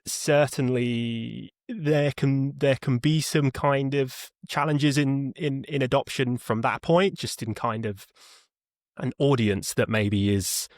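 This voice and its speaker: A man's voice: mid-range voice, no room sound, studio quality. A man being interviewed as a podcast guest, explaining conversationally, rambling and repeating words as he thinks. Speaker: podcast guest, head of customer experience.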